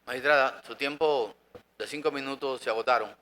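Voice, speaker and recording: A man's voice: mid-range voice, fairly quick, pushed and bright, slight background noise. A man chairing a panel, telling the speaker that her time is up in a formal, courteous tone.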